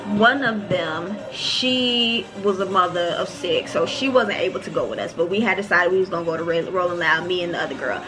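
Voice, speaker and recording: A woman in her late twenties telling a personal story to her viewers in a casual, expressive way. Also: on the low side, brisk, hardly any pauses, music in the background, low-quality recording. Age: late 20s. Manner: chatty, expressive, talks straight to her viewers. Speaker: podcast host.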